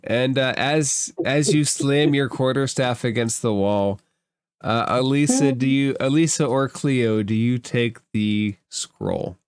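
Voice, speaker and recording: A man's voice: medium pitch, takes it slowly, clean tone, dry recording, clean studio sound. A man running a tabletop role-playing game as game master, improvising his narration in a conversational way.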